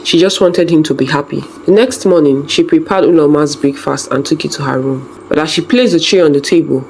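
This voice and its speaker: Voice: medium pitch, a little fast, creaky, darkish tone, slight background noise, low-quality recording. A storyteller reading a scripted folktale narration.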